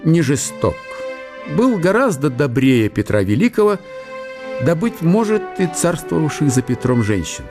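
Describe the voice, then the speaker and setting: A man's voice: a little high, big pitch swings, unhurried, over music. A man narrating a historical radio drama in a dramatised literary style.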